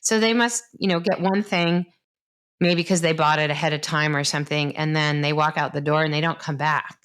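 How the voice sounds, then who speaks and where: A woman's voice: deep voice, steady tone, moderate pace, fairly bright tone, clean tone. A woman in her fifties, a doctor, talking casually and enthusiastically, explaining science plainly and laughing often. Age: 50s. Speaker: doctor, podcast host. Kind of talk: casual conversation.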